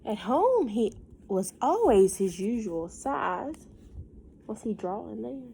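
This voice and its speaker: A woman teacher reading a picture book aloud to young children, with chatty asides and questions, in an expressive, gentle teacherly manner. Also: on the high side, slow, vocal fry, slight background noise.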